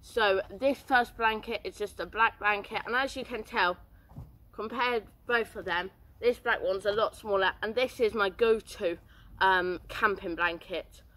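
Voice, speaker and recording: A man's voice: sits high, measured pace, pushed and bright. A teenage boy, rambling casually through outdoor gear in a garden, with many fillers. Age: teens.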